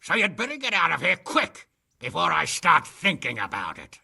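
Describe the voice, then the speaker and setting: Video game lord: rough, forceful male, heavy rasp, brash and combative. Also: on the high side, wildly swooping pitch, moderate pace, exaggerated voice. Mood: stern warning. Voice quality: gravelly, rough. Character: gruff, brash nobleman spoiling for a fight.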